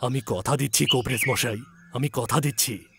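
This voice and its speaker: A man's voice: medium pitch, normal speed, gravelly, noisy background, close to an everyday voice. A man playing an anxious, loving landlord father, speaking with emotion and earnest promise in a dramatised story.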